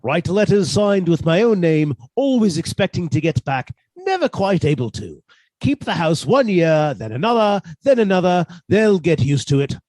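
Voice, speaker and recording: A man's voice: sits high, very animated, normal speed, darkish tone, no room sound. A man playing a henpecked husband turned murderer in a radio suspense drama, fussy, precise and politely menacing. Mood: gloating, menacing.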